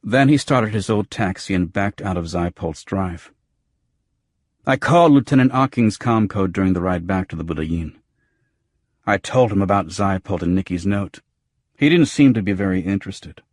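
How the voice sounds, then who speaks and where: Deep, husky male noir audiobook narrator. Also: lively intonation, moderate pace, no room sound, studio quality. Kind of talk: scripted first-person noir narration.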